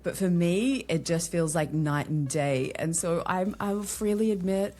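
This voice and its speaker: A woman's voice: fairly low pitch, a little slow, mellow, faint music behind. An Australian woman, a guest on a podcast interview, talking candidly and chattily with wry asides. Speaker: guest, LinkedIn strategist.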